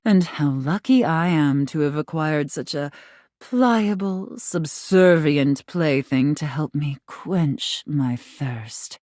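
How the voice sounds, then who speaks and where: A woman's voice: low-pitched, darkish tone, dry recording, clean studio sound, full character voice. A woman voicing a haughty, teasing dominatrix in a video game, sultry and condescending in manner. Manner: haughty, sultry, condescending, drawn-out deliberate phrasing. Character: imperious, teasing dominatrix, a living safe in human guise. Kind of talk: video game character acting.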